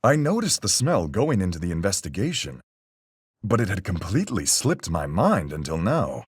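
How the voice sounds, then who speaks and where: Man voicing a gruff sea captain, very deep, hugely animated intonation, level-headed, folksy seafaring slang, video game character, dry close sound. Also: no room sound, full character voice. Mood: analytical, measured. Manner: salty seafaring slang, measured deductive delivery. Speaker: voice actor in a fan-made mystery game.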